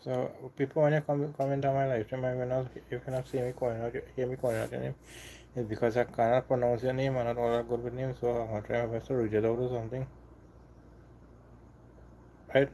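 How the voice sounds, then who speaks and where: A man from Trinidad with a Trinidadian accent, hosting a casual live stream and chatting with viewers in a halting, repetitive way. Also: mid-range voice, flat delivery, warm tone, a little hiss or hum, rough recording. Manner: halting, repeats himself, apologetic then defiant. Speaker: livestream host.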